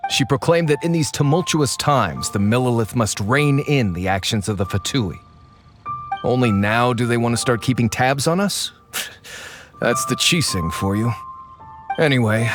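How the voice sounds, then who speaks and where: A man's voice: medium pitch, close to an everyday voice. A man playing a shrewd, knowing agent. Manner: conspiratorial, dry and knowing. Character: shrewd male agent of a foreign faction, wary. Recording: game audio with music behind.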